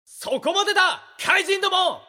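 A man's voice: very high-pitched, animated, moderate pace, a loud crowd. A man playing an earnest young hero, declamatory and determined, in an amateur audio drama.

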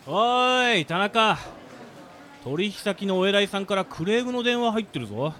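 A man's voice: sits high, expressive, moderate pace, bright, forceful tone. A man playing a blunt, rude male coworker in an amateur radio drama. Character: rough, teasing male coworker.

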